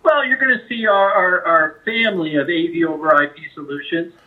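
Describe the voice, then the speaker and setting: Higher-voiced man on a tinny phone line. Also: a little fast. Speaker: company representative, interview guest. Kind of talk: conversational product pitch.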